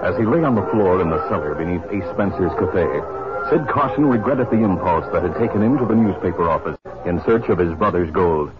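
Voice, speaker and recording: A man's voice: deep voice, lively intonation, brisk, loud music behind the voice. A man with an American accent narrating a scripted 1950s western radio drama. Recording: muffled, noisy old radio recording.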